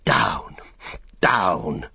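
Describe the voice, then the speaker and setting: A man playing a weary, resolute hero in an audiobook, speaking with solemn, deliberate command. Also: on the low side, steady tone, no room sound, clean studio sound. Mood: gasping, fierce. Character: stern, weary, resolute male ring-bearer hero. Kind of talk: audiobook character acting.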